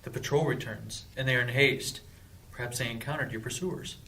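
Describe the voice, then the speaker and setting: A man's voice: medium pitch, brisk, bright, forceful tone, close to an everyday voice, low-quality recording. An actor playing a young male thief in an audio drama, speaking in a wry, teasing way. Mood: alert.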